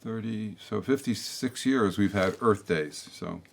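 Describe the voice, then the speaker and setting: An older man hosting a local public-access opinion show, talking bluntly in a meandering way with many restarts and asides. His voice is slightly hoarse from a throat tickle. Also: fairly low pitch, a little slow. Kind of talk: rambling opinion monologue to camera.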